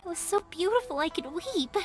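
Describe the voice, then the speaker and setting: An actress voicing a cheerful, earnest young woman who makes fireworks in a video game, expressive and heartfelt, with game music behind her. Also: very high voice, fairly quick. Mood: excited.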